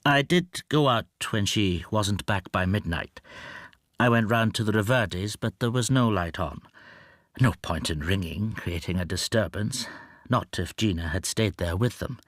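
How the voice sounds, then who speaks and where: Meek, low-voiced anxious bachelor husband. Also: male voice, lively intonation, a bit bright, no echo, studio quality. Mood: subdued, confiding. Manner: hesitant, confiding, halting. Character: meek, anxious middle-aged bachelor husband, gentle and self-effacing. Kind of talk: radio drama acting with intimate first-person narration.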